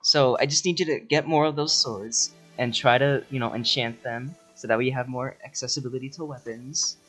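Man as a bright-voiced scheming elf sorceress. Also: medium pitch, soft background music, lightly acted. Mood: coaxing. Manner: halting, smug, conspiratorial. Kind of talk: improvised comedy fandub.

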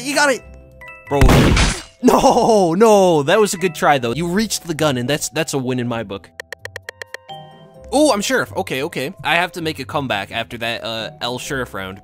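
A young man with a fairly high voice that swings widely in pitch, recording gameplay commentary while a little under the weather. He talks in casual slang with playful exclamations, over background music. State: slightly sick, voice a little deeper than usual. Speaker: gaming video creator.

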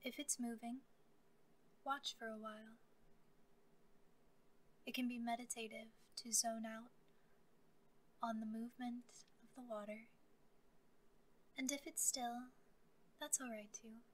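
Woman doing guided meditation, gentle calm voice on the high side, some noise behind her. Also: slow, long pauses, poor audio. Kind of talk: guided meditation, soft scripted narration.

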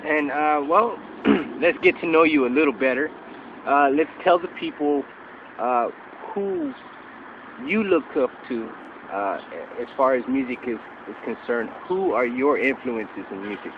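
A man interviewing a musician, asking short questions with many pauses and fillers. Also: mid-range voice, very animated. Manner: slow, halting with uh fillers. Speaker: interviewer, filmmaker. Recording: lo-fi with street and traffic noise.

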